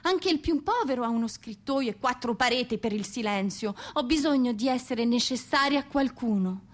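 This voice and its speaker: A woman's voice: fairly high pitch, animated, moderate pace, natural voice. A woman acting an anguished, impassioned exiled poetess. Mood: pleading, anguished. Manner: impassioned, declamatory. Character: anguished exiled Russian woman poet, pleading yet defiant. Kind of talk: theatrical radio monologue.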